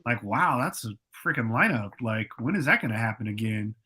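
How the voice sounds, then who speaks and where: A man in his late forties, a guest telling stories in a relaxed, rambling way with many fillers and restarts on a podcast. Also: mid-range voice, fairly quick, husky. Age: 40s. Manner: laid-back, rambling, lots of fillers and restarts. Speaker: guest, producer and artist. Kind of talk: casual podcast interview storytelling.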